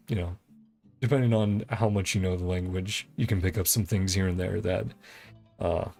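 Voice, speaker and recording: A woman streaming a game and chatting casually as she plays, often laughing and filling pauses with fillers. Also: rumbling low, gravelly, darkish tone. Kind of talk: casual gameplay commentary.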